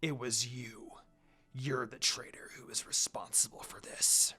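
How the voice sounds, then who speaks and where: A man's voice: mid-range voice, steady tone, measured pace, pushed and bright, slightly rough, voice pushed down, full character voice. A man voicing a proud, protective male demon lord in an anime fan story, intense and emotional. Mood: hushed fury.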